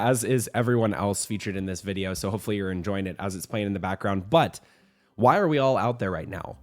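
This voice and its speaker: Upbeat gamer man, a little hoarse. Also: lowish voice. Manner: upbeat, rambling, with frequent restarts and fillers. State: slightly hoarse from a cold. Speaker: host of a weekly game news show. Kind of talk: casual game news commentary.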